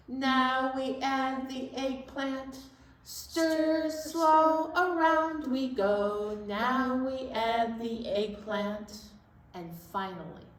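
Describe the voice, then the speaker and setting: A woman hosting a children's library storytime in a sing-song style, with simple singing and gentle chat. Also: on the high side. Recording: roomy echo, distant microphone.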